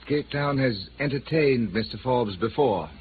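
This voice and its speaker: A man's voice: mid-range voice, animated, a little slow, slight background noise, close to an everyday voice. Formal police lieutenant on tinny old radio acted by a man. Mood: probing, dry. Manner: precise, formal, drily skeptical. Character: formal, dry, probing male South African police lieutenant. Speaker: radio drama actor. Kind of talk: 1950s radio drama acting.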